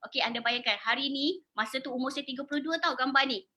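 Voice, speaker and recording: A woman's voice: sits high, fast, sharp tone. A woman in her forties with a Malaysian accent, giving an informal live sales presentation in an emphatic, repetitive way, often checking in with listeners through questions. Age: 40s. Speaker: product presenter and sales promoter.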